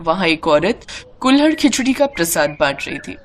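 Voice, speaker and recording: A woman narrating a scripted moral story in an expressive, dramatic way. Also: fairly low pitch, normal speed, noisy background.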